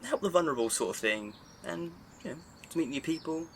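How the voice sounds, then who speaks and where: An actor playing an amiable, naive, chatty neighbour with a community spirit in a naturalistic audio drama. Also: male voice, on the high side, lively intonation, fairly quick, a little hiss or hum. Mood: upbeat.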